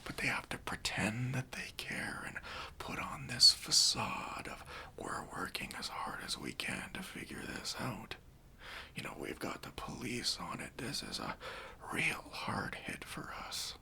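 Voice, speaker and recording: A man playing a kindly, reassuring bank robber in a soft, breathy ASMR roleplay, speaking gently and casually to an accomplice. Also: medium pitch, big pitch swings, measured pace, exaggerated voice. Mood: earnest, conspiratorial. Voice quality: breathy, near-whispered.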